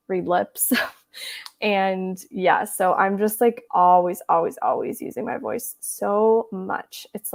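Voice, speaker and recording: A hoarse young woman in her twenties hosting a casual reading livestream, chatty and giggly as she reads viewer comments, trying to talk more quietly than usual. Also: medium pitch. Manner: tries to speak softer than usual. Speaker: booktube livestream host. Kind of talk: casual chat with live viewers.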